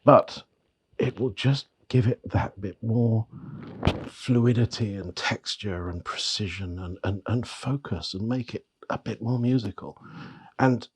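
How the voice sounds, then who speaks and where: Hoarse, gravelly man with a cold. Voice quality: gravelly, bass-heavy voice. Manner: rambling, with frequent restarts and repeated words. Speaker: magazine editor reviewing audio gear. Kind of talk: product review talk to camera.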